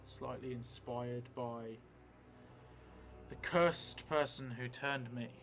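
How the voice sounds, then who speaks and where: A man in his forties, narrating a solo role-playing game and thinking aloud in a musing, halting, muttering way. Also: medium pitch, fairly even intonation, creaky, a bit bright, slight background noise. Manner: musing, halting, drifting into muttering while writing. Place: indoor theatre stream set, seated at a table. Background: background music.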